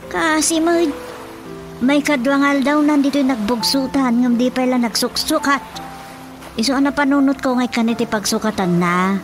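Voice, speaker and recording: A woman's voice: high-pitched, normal speed, natural voice. An actress playing a practical, mildly fussing wife and mother with an Ilocano accent in a radio drama. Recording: prominent music under the dialogue.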